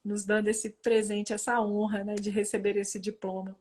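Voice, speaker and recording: A woman's voice: mid-range voice, flat delivery, normal speed, a little mellow. A woman with a Goiás Brazilian accent hosting a casual live stream, effusive and thankful, often checking for agreement as she talks. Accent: Goiás Brazilian Portuguese. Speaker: host, quantum therapy teacher.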